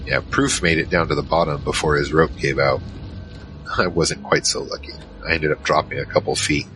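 An actor playing a huge, shaken survivor recounting a monster battle in a halting first-person audio drama narration. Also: male voice, steady tone, low-quality recording. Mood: tense, shaken. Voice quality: very low, heavy voice. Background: music.

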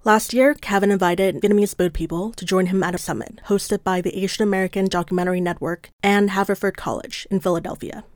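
A woman who is a producer filling in as host, reading measured scripted narration. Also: medium pitch, fairly even intonation, no echo, studio quality. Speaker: associate producer, stand-in host.

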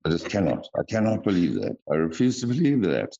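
Casting: rambling male podcast co-host, very deep and gravelly, dull lo-fi sound. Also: sing-song, warm tone. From South Africa. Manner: rambling, drawn-out emphatic repeats. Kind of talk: casual chat. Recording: dull lo-fi audio.

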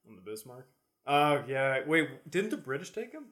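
A man's voice: fairly high pitch, sing-song, moderate pace, a bit bright. A man chatting casually as a podcast co-host, interjecting informally with the odd swear word. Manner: informal, interjecting, mildly profane. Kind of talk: casual chat.

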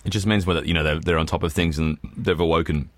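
A man's voice: very deep voice, steady tone, fast talker, dry recording, studio quality. A man co-hosting a podcast in casual, unscripted chat, explaining things and giving his opinions. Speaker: podcast co-host.

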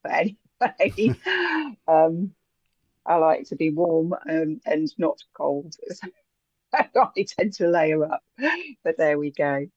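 A woman co-hosting a podcast in casual chat, talking warmly with frequent ums and little laughs. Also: deep voice, fairly even intonation, slow, no room sound, highs cut off. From England. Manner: chatty, giggly, hesitant with many ums. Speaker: podcast co-host.

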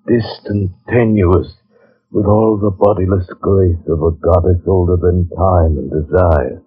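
Deep-voiced haunted gentleman, vintage radio. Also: fairly even intonation, a little slow, husky. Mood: brooding. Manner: dramatic, hushed narration breaking into anguished pleading. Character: troubled gentleman narrator, haunted and torn by longing. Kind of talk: 1940s radio drama acting. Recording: dull 1940s radio recording.

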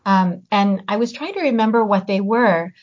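A woman, born in Hungary, chatting as an interview guest and pausing to search for her words. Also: mid-range voice. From born in Hungary, raised in the US. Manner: reflective, pauses to search for words. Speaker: guest, novelist and teacher. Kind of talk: casual interview chat. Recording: slightly muffled audio.

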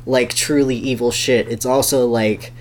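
Casting: podcast co-host, medium-pitched voice, informal and amused, loose conversational delivery. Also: fairly even intonation, unhurried, darkish tone, a little hiss or hum. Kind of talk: casual podcast chat.

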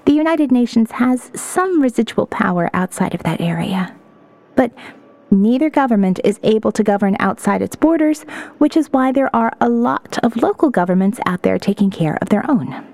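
A woman's voice: fairly high pitch, lively intonation, moderate pace, creaky, darkish tone. A woman playing a weary, sardonic survivor reading out her diary in an audio novel, in a wry, cynical, reflective way. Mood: dry, matter-of-fact. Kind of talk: first-person audio novel narration.

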